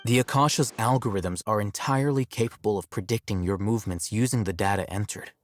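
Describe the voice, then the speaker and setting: An actor playing an aloof, coolly logical young male scholar in a video game, measured, detached and matter-of-fact. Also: on the low side, faint music behind, lightly acted. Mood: explanatory, grave. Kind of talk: video game character dialogue.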